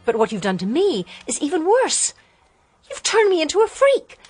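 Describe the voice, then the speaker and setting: An actress playing a restless, sheltered young daughter who speaks earnestly in a radio drama. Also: female voice, very high-pitched, huge pitch swings, normal speed, darkish tone, full character voice.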